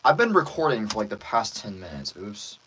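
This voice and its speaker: A man who is a voice actor, chatting casually with livestream viewers in an animated manner. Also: lowish voice, fairly quick, slight background noise, poor audio. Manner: animated, reads out viewer comments. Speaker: voice actor, streamer.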